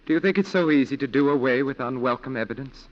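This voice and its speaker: A man's voice: medium pitch, fast, natural voice. An actor in a 1940s radio drama playing a principled, stern defense lawyer who is torn by his duty. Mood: grave, reluctant. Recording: muffled 1940s radio broadcast recording.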